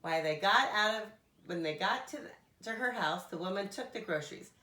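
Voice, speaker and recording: Expressive woman reading a storybook, echoey room. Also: medium pitch, normal speed. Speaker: nursery school teacher. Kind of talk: reading a children's storybook aloud.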